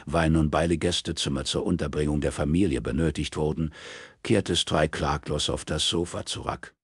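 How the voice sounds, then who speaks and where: A man's voice: very deep voice, steady tone, moderate pace, breathy edge, no echo, clean studio sound. A man reading scripted crime fiction as an audiobook narrator.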